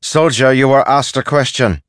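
A man's voice: lowish voice, expressive, unhurried, no room sound, clean studio sound, voice pushed up. A man voicing a young woman warrior in an audiobook, speaking directly and with assured authority. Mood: interrogating.

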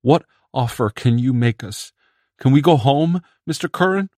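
A man voicing a pathetic, desperate male castaway who talks in a whiny, ingratiating tone and breaks into shrill screams. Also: slow, a little mellow, slightly rough, no room sound, studio quality. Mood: wheedling. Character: pathetic male spaceship castaway, whining then threatening.

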